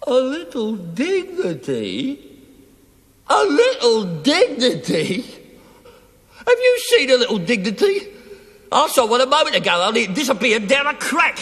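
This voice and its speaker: An actor playing a bitter, taunting, delirious dying army cook in a television play, ranting theatrically and mockingly. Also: male voice, very high-pitched, wildly swooping pitch, unhurried, fairly bright tone, heavily acted. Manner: theatrical, mocking, swinging from mutter to shriek. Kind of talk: television stage-play acting. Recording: echoey hall with long reverb.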